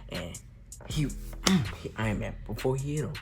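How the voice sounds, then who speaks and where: A young man with an American accent giving a casual, slangy movie recap with emphatic, excited retelling. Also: medium pitch, normal speed, gravelly. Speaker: movie reviewer.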